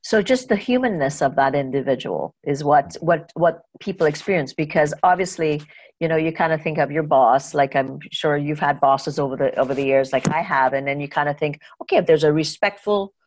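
A woman's voice: low-pitched, fairly quick, darkish tone. A woman from Trinidad and Tobago hosting a conversational interview podcast, thinking aloud in a wordy, reflective way.

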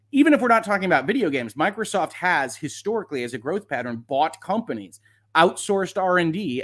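A man with a slightly high voice hosts an off-the-cuff legal commentary. He reads points aloud and weighs each one analytically, with careful qualifications and formal, lawyerly wording. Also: fairly bright tone. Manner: analytical, measured hedging, lawyerly vocabulary. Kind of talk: live off-the-cuff legal commentary, reading and reacting.

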